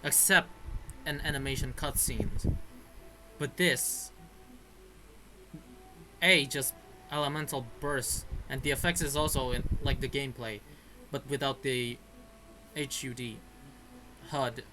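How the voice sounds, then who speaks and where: A man's voice: mid-range voice, fairly even intonation, takes it slowly, long pauses, pushed and bright, faint music behind, poor audio. A man giving casual, rambling gameplay commentary in an informal manner. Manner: informal, rambling, mild swearing. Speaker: gaming video creator. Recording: clear background noise, clicks, game audio.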